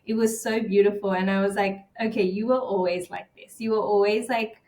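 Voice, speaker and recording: A woman's voice: mid-range voice, steady tone, brisk, darkish tone. Woman guest in an echoey room. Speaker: podcast guest. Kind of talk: casual personal storytelling. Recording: roomy, echoing sound.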